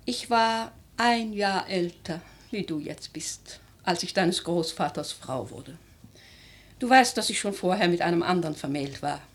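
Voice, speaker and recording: A woman playing an aristocratic old grandmother in a German radio drama, speaking in a theatrical, measured, lyrical way, worldly and imperious. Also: lowish voice, expressive, breathy edge, exaggerated voice. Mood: grave, remembering. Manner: theatrical, measured, lyrical, with emphatic swells and hushed phrases.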